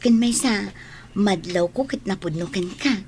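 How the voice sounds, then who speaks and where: A Filipino actress playing a teasing, nosy young barmaid friend in a radio drama. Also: female voice, mid-range voice, unhurried, a little mellow, a little hiss or hum, lightly acted. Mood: playful, prying. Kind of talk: Ilocano radio drama acting.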